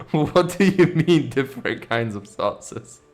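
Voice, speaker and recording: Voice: medium pitch, expressive, slow. A game streamer giving casual, teasing playthrough commentary in informal slang, with game music behind.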